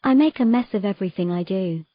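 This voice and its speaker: A woman's voice: medium pitch, fast talker, dry recording, dull audio, close to an everyday voice. A woman playing a troubled, earnest young mermaid in a romantic fantasy audio drama. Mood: distressed, ashamed.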